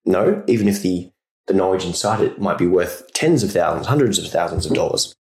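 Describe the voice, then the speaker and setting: A man's voice: low voice, a little fast, a little mellow, roomy sound. An Australian man hosting a guitar-teaching podcast in a chatty, rambling solo talk, recovering from a sore throat. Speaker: podcast host.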